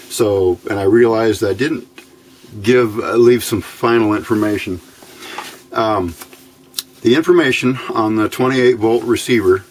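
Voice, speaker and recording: An American man giving an informal technical tutorial as a hobbyist host, plainspoken and a little hesitant. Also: lowish voice.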